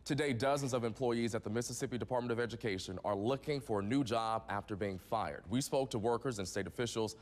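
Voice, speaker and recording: A man's voice: mid-range voice, fast talker, few pauses, in a large hall. A man with an American accent reading scripted morning television news as the anchor. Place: TV news studio.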